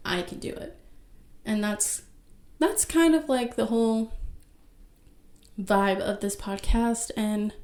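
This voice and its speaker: Woman, 30s, podcast host, soft-spoken, slow pace, reflective solo talk. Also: mid-range voice.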